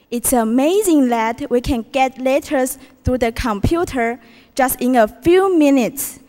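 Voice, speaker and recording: A woman's voice: high-pitched, darkish tone. A teenage girl from Taiwan with a Taiwanese accent gives a prepared contest speech in English, reciting carefully and putting questions to the audience. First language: Mandarin Chinese. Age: teens. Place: school auditorium. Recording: reverberant hall from a distance.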